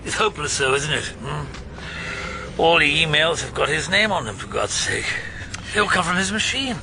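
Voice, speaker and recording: A man's voice: a little high, expressive, normal speed, creaky, background noise. A man playing an anxious, pessimistic lawyer in a radio drama, fretful and hesitant. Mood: exasperated, gloomy.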